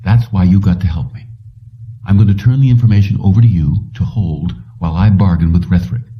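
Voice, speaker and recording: A man's voice: deep voice, a little fast, recorded from a distance, dull audio, lightly acted. A man reading a determined, calculating fugitive in an audiobook, speaking insistently and explaining himself. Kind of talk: audiobook character dialogue.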